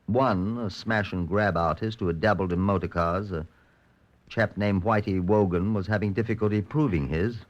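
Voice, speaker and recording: An actor playing a dry, determined chief inspector who narrates a vintage radio police drama in measured, understated tones. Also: male voice, low voice, close to an everyday voice. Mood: measured, matter-of-fact. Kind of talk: golden age radio police drama acting. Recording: dull, lo-fi old radio recording.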